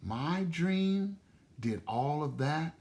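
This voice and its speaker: A man's voice: fairly high pitch, animated, extremely slow, darkish tone, voice pushed up, exaggerated voice. A man voicing a small, earnest young rabbit boy in a storybook, wide-eyed and unsure. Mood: surprised. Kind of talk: storybook reading aloud.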